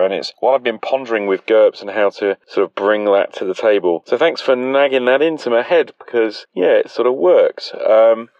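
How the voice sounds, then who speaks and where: A man's voice: fairly low pitch, a little mellow, no room sound. A man leaving a casual voice message on a dull, lo-fi recording. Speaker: podcast call-in listener.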